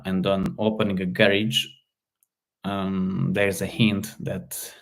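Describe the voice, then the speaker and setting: A man's voice: deep voice, creaky. A man from Slovakia whose first language is Hungarian, chatting casually on a live stream and answering viewer comments with frequent restarts and fillers. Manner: halting, repeats words, many fillers. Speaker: live stream host, car repair hobbyist.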